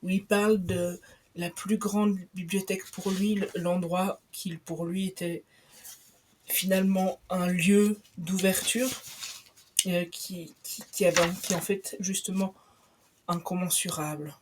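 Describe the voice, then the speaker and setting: A woman's voice: fairly low pitch, fairly even intonation, unhurried, slight background noise. A young woman on a radio book show, talking about a book with many fillers and restarts. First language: not Italian. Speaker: guest presenting a book. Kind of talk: live radio book chat.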